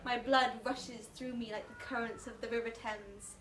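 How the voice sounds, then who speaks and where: Impassioned young wartime soldier played by a woman, high and bright, stage slam poem. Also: steady tone, normal speed, lightly acted. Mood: proud, stirred. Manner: declamatory, impassioned. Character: patriotic young English soldier, fervent, later desperate. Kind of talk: dramatic slam poetry recital. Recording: noisy, echoey room, mic far off.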